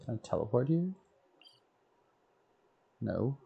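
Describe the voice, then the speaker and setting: A man's voice: mid-range voice, big pitch swings, slow pace, vocal fry, slightly rough, muffled recording. A man streaming a video game, casually muttering and thinking aloud as he plays. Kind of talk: casual gameplay commentary.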